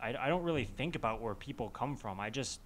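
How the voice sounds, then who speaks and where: Halting man with noise behind him. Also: medium pitch, expressive. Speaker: podcast panelist. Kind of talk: casual group discussion of a video game.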